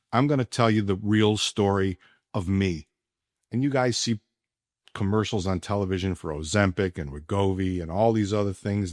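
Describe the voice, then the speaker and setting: A man's voice: on the low side, a little mellow, no echo, clean studio sound. A man in his sixties hosting a podcast, talking casually in a blunt, conversational way. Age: 60s. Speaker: podcast host. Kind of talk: casual podcast chat and personal testimonial.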